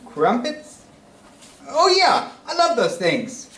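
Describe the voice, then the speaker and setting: A man playing a cheeky, childlike comic sidekick in an old-time radio comedy routine, animated and joking toward each punchline. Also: very high voice, takes it slowly, heavily acted. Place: stage reader's theatre performance. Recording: echoey stage, distant microphone.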